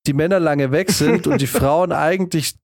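A man's voice: on the high side, moderate pace, a little mellow, no echo, clean studio sound. A man chatting informally as one of the hosts of a podcast. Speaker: podcast co-host. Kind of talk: casual chat.

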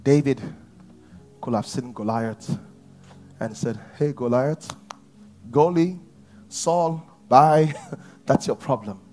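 A man preaching a sermon to his church, at times rising to shouts. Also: medium pitch, slow pace, long pauses, soft background music. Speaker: pastor.

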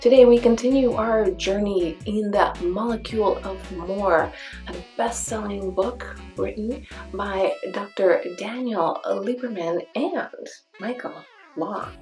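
A woman's voice: mid-range voice, slow, darkish tone, soft background music. A woman hosting a personal development show, reading from a book aloud and adding conversational commentary, with rhetorical questions and emphasized key phrases.

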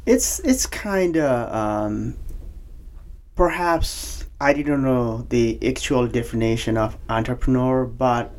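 Slow-talking man with a Nepali accent. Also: mid-range voice, animated, darkish tone. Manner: halting, many fillers and restarts. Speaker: podcast guest, banking officer. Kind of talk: casual interview.

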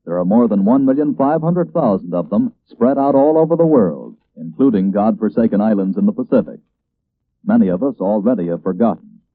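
A man's voice: medium pitch, no room sound. A man reading scripted copy as a 1940s radio announcer, emphatic and declamatory. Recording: old narrow-band radio broadcast.

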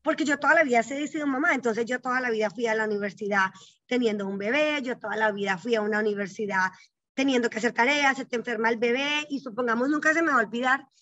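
A middle-aged woman talks through her life story as an interview guest, earnest and reflective, often checking in with short tag questions. Her voice sits high and sounds bright and crisp. The recording is dry and slightly dull, like a lo-fi setup. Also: fast, no echo. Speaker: guest, psychologist and bank executive.

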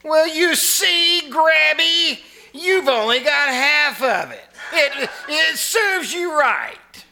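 An actor playing a fussy, lovesick mail carrier who is easily indignant, in old-time radio comedy style. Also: male voice, very high-pitched, big pitch swings, slow, heavily acted. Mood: teasing, fussy. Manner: fussy, exclamatory, melodramatic. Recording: noisy live stage recording.

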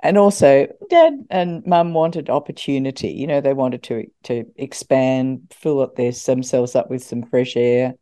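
A woman's voice: a bass voice, measured pace, few pauses, dark tone. An older woman from Scotland, a former radio host, chatting in an interview and laughing at her own stories. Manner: chatty, laughs often, self-deprecating. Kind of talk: interview, anecdotal storytelling.

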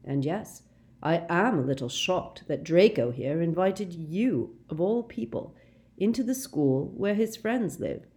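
A woman voicing a very old, wise male headmaster who speaks calmly and quietly. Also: lowish voice, a little slow. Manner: calm, quiet, gently dry.

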